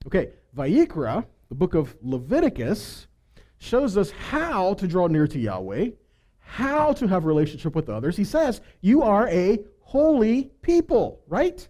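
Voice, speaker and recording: Male preacher, mellow tone with very lively intonation, informal teaching style built on questions to the congregation. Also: high-pitched, measured pace. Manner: animated, rhetorical questions and check-in tags like "right?". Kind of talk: conversational sermon teaching.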